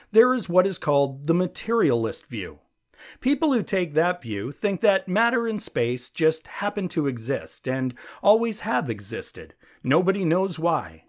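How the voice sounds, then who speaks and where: A man narrating an essay audiobook in a measured, explanatory way, with emphatic phrasing. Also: on the high side. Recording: dull, lo-fi audio.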